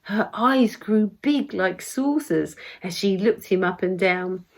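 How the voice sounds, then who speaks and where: A woman with a southern English accent reading her own rhyming story in an expressive storybook manner. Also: medium pitch, slow pace. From Essex, England. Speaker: poet reading own work. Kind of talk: expressive storybook verse narration.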